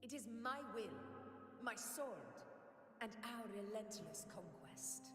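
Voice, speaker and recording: A woman's voice: on the high side, expressive, slow. An actress playing a proud, iron-willed female ruler in a video game, speaking imperiously with an Italian accent. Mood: defiant, stern. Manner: imperious, declamatory. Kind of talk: scripted game dialogue. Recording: echoing hall, music behind.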